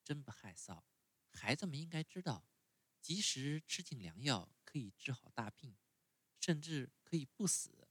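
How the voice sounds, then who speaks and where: A storyteller voicing a patient, motherly fairy who gently coaxes a sick child. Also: mid-range voice, sing-song, moderate pace, husky, no room sound, voice pushed up. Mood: scolding. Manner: soft, coaxing, gentle.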